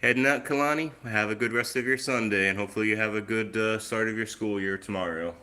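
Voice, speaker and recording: Low-ish bright-voiced guy chatting on a phone live stream, bit of a cough, laid back. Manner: easygoing, chatty, unhurried. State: lingering cough, voice a little off. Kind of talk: casual live-stream chat. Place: outdoors, then indoors at home.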